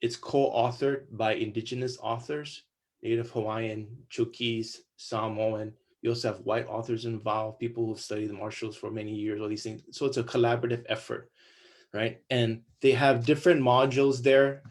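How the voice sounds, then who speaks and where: A man's voice: mid-range voice, little pitch movement, moderate pace. A man from Guam giving an enthusiastic panel presentation over a video call, listing example after example with occasional fillers. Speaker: guest speaker on curricula.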